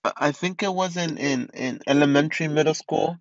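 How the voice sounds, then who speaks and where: A man's voice: fairly high pitch, fairly quick, vocal fry, no echo, lo-fi sound. A man in his twenties hosting a casual solo podcast, rambling with many restarts and fillers. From East Los Angeles. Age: 20s. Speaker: podcast host.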